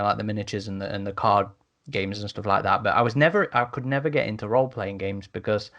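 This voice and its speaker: A man in a casual podcast discussion as a host, speaking a little haltingly and repeating words as he goes. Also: lowish voice, a bit bright.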